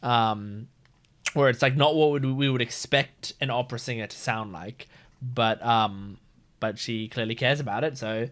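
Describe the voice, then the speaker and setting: A man's voice: mid-range voice, measured pace, fairly bright tone. A man hosting a reaction video, casually thinking aloud in loose, rambling commentary.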